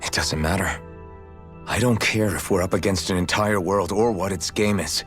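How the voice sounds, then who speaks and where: A man's voice: low voice, moderate pace, fairly bright tone, soft background music. A man playing an earnest, resolute young male hero in a video game, with a blunt, determined way of talking. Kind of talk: video game cutscene acting.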